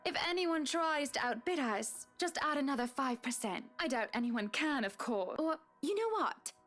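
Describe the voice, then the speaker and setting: A woman's voice: high voice, expressive, moderate pace, a bit bright, faint music behind. An actress playing a wealthy, haughty married society woman with a genteel, condescending way of talking in a visual novel. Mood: brisk, assured.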